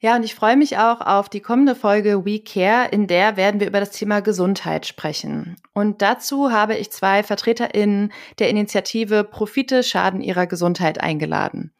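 A woman's voice: mid-range voice, normal speed, few pauses. A woman hosting a podcast, moderating an interview in a conversational way and sometimes searching for words. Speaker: podcast host.

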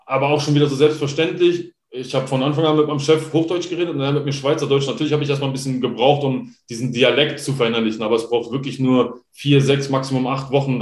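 A man's voice: medium pitch, a little mellow. Chatty German man, echoey room. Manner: rambling, chatty storyteller with many fillers. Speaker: guest. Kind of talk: casual interview chat. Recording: roomy echo.

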